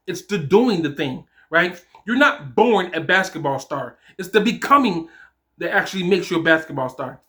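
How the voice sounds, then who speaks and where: Male motivational coach, forties, high and bright voice, informal, emphatic and encouraging. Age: 40s. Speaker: fatherhood coach and host. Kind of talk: motivational talk addressed to fathers.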